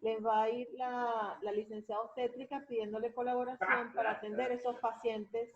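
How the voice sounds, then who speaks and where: Dull, lo-fi call audio carries a woman's slightly high voice. She moderates a webinar in a casual way, hesitating and repeating words. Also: level tone, moderate pace. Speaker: moderator reading audience questions.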